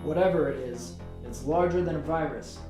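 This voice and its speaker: A man acts a stern, careful male pathologist in a radio play. His voice is fairly high for a man. The room echoes clearly and music plays lightly underneath. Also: moderate pace, a little mellow, lightly acted. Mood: intent, clinical. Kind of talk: amateur radio play acting.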